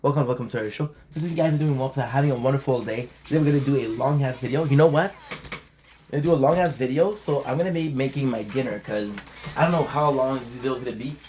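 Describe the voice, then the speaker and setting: Guy vlogging, stammers and restarts, swears a lot, normal pitch. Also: quick pace, darkish tone, slight background noise. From Montreal, Canada. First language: French. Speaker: channel host. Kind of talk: casual rambling vlog chat.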